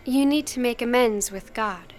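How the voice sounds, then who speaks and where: A woman playing a pious, self-possessed high school girl in an audio drama, earnest and composed. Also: moderate pace, faint music behind, close to an everyday voice. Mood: righteous.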